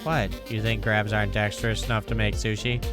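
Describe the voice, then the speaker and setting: An excitable little game robot crab, acted out by a man, pitched up high. The creature exclaims everything with naive emphasis over clear music. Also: fairly quick, close to an everyday voice.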